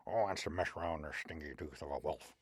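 A man's voice: rumbling low, quick pace, breathy edge, no room sound, studio quality, pitched below the performer's own voice. A man doing a grumbling, greedy wolverine. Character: greedy wolverine, hot-tempered then gullible.

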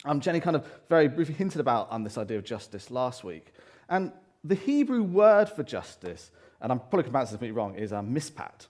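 A man delivers a sermon into a microphone in a church hall, his voice loud and carrying at a middling pitch. He speaks earnestly, often pausing, restarting and reaching for words as he goes. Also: big pitch swings. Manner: earnest, with frequent fillers and restarts. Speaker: preacher. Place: church hall, live congregation. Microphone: handheld or stand microphone.

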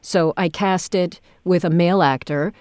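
A woman with a slightly low voice speaks as a podcast host in a very dry, close acoustic. Her delivery is conversational and thoughtful, with pauses and rephrasing as she goes. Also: a little mellow, smooth, no room sound. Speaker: podcast host, audio drama director and audiobook narrator. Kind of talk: conversational interview.

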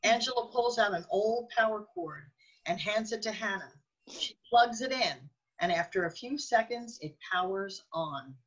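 A woman's voice: mid-range voice, animated, moderate pace, fairly bright tone, husky, muffled recording. A woman reading scripted narration for a radio drama, describing scenes as the narrator.

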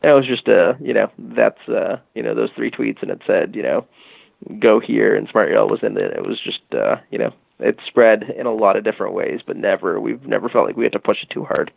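A man's voice: fairly low pitch, a little fast, creaky, no echo. A man guesting on an interview, telling a long story in a casual, rambling way, full of fillers and asides. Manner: rambling, many fillers and "you know". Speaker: guest, tech company executive. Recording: dry phone-like line.

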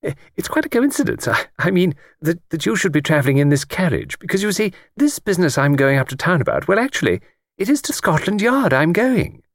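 A man voicing a gentle, chatty old English lady in an audiobook, with a thin, quiet voice and a hesitant, rambling, genteel manner. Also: high-pitched, very animated, brisk, no room sound, clean studio sound, voice pushed up, exaggerated voice. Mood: chatty, fluttering. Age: older. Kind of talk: audiobook character reading.

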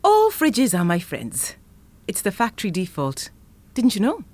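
Playful, very animated chocolatier. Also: female voice, mid-range voice, a little slow. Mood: teasing. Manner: teasing, exuberant, expressive. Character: playful, flirty, ambitious woman chocolatier, adult. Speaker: actor in an audio drama. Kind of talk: audio drama acting.

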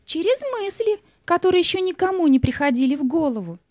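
A woman's voice: very high voice, lively intonation, fast, a little mellow, no room sound, clean studio sound. A woman playing an eager, wondering tiny child waiting to be born in a children's audio fairy tale. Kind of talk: children's audio play acting.

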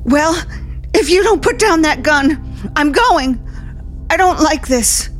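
High-voiced frightened young woman, halting. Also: lively intonation. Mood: nervous. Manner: hesitant, halting, pleading. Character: frightened, pleading young woman held at gunpoint. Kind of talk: 1950s radio suspense acting. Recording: 1950s radio broadcast, music behind.

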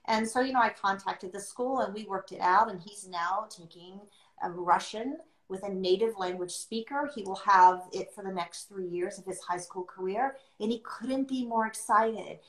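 A woman's voice: medium pitch, a bit bright. Middle-aged woman, podcast host. Reflective, carefully phrased conversational talk, mid-range voice, audibly echoey room. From born outside the US, adopted into America. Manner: earnest, deliberate phrasing with pauses. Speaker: podcast host sharing her experience as an adoptive mother. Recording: roomy, echoing sound.

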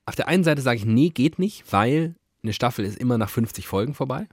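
Animated man bantering on a podcast. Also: medium pitch, fairly quick, dry recording, studio quality. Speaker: podcast co-host. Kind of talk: casual banter.

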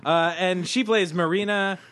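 A man's voice: sits high, steady tone, measured pace, a bit bright, no room sound, clean studio sound. A man hosting a podcast, chatting casually, reading a description aloud with laughing asides. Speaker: podcast host. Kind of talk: casual chat.

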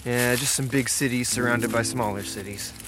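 A man playing a guarded, low-key drifter and hunter in an audio drama, speaking in terse, hesitant lines. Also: steady tone, normal speed, lightly acted. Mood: reserved, reflective. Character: guarded, drifting outdoorsman from Maine who grew up hunting. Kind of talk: naturalistic audio drama dialogue.

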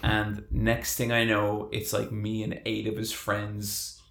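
A man in his thirties co-hosting a podcast, talking bluntly with a lot of swearing and breaking into loud rants. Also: on the low side, monotone, slow, creaky. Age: 30s. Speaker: podcast co-host. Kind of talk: casual chat. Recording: echoey room.

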